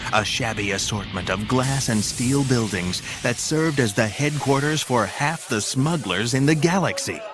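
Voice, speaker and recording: A man narrating an audio drama, reading a scripted story in a dramatic style. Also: mid-range voice. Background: music.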